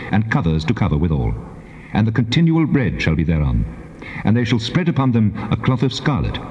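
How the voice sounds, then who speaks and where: A solemn, commanding deity, declaiming scripture in measured phrases. The low voice rises and falls expressively, ringing in a long, echoing reverb on a dull tape. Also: male voice, darkish tone. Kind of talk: dramatized King James Bible reading.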